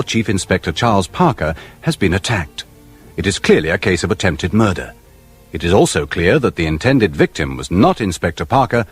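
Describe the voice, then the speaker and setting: A man's voice: on the low side, breathy edge. A man reading scripted narration as the announcer of a radio drama. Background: music under the voice.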